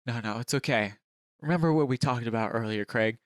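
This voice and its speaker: A man voicing a patient, earnest woman who explains herself haltingly in a comic story. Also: mid-range voice, dry recording, clean studio sound, pitched below the performer's own voice, close to an everyday voice.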